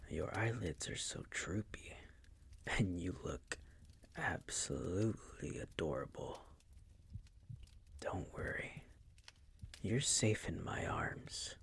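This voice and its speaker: A man playing a seductive, possessive vampire noble who dotes on his servant, in an intimate ASMR roleplay with a breathy, gravelly, crooning whisper. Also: low voice, exaggerated voice. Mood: tender, soothing. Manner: slow, crooning, teasing, possessive.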